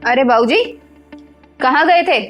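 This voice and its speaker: A woman's voice: high-pitched, unhurried, fairly bright tone, faint music behind. A woman playing a haughty, bossy daughter-in-law in a Hindi drama, scolding and demanding. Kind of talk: Hindi moral short-film acting.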